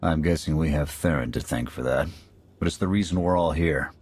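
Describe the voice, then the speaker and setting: An actor playing a seasoned male special forces major in a game cutscene, giving clipped military briefings with a husky voice. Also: very deep voice, a little fast, a little mellow. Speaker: military squad commander. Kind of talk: video game cutscene acting.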